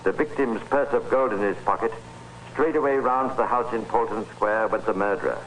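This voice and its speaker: An actor playing the grave, measured narrator of a crime tale in an old-time radio drama. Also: male voice, lowish voice, lightly acted, poor audio. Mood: grave, ominous. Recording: hissy vintage radio recording.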